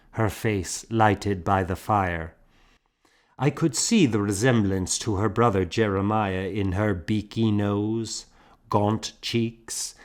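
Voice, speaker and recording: A man narrates a historical novel for an audiobook. His voice sits fairly low and he reads very slowly, in a measured storytelling style.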